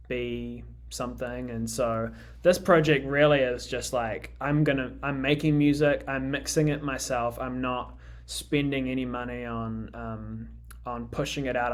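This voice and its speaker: A young New Zealand man with a Kiwi accent talks casually as a guest on a radio interview. His pitch sits in an ordinary middle range, and he hesitates a lot, with frequent ums and restarts as he thinks aloud. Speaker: musician guest.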